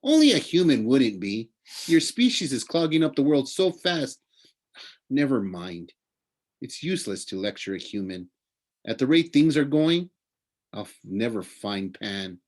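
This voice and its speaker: A man's voice: mid-range voice, sing-song, measured pace, voice pushed up. A man voicing an earnest, easily indignant young male satyr in a storybook reading, lecturing in a plaintive way.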